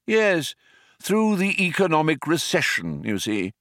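A male narrator puts on an elderly, gentle gentleman from a storybook world. He is solemn, vague and terribly polite, taking his time over every thought. His speech rises and falls widely, in a dry recording. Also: a little high, huge pitch swings, no room sound, pitched above the performer's own voice, exaggerated voice. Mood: mildly surprised. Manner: vague, unhurried, wistful, gently formal. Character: kindly, absent-minded old alien man, solemn and polite. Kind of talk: audiobook character voice.

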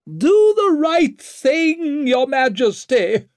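An imperious older noblewoman from a fantasy audiobook, acted out by a man. The voice is pitched high and swoops widely, with a sharp, haughty edge. Words are stretched with menacing emphasis. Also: sing-song, no room sound, clean studio sound, full character voice. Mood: desperate pleading. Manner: haughty, drawn-out emphatic delivery. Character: imperious aristocratic older mother, shrill, menacing, pleading.

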